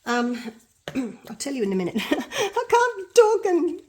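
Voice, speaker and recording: A woman's voice: sits high, big pitch swings, a little slow. A middle-aged woman with an Australian accent and a congested, blocked-up nose, chatting casually through a resin art tutorial and thinking aloud as she works. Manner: chatty, self-deprecating, thinking aloud while working.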